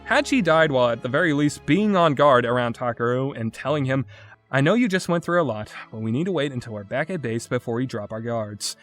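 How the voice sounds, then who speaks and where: A man reviews a game with open exasperation and plenty of sarcasm. His delivery is emphatic and very animated, rising and falling widely in pitch. Faint music plays behind his voice. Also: fairly quick. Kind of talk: opinionated review commentary.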